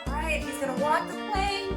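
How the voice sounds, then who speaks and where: A woman's voice: very high voice, normal speed, over loud music. A woman hosting storytime for young children, talking in a playful, sing-song way and prompting them to join in with questions. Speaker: children's storytime host at a library. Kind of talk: read-aloud and playful talk for young children.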